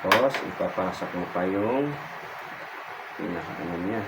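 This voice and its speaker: A man's voice: sits low, lively intonation, unhurried. A Filipino man giving a casual hands-on tutorial, informal and repeating his phrases as he demonstrates. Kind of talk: casual hands-on how-to chat. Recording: very noisy background ambience.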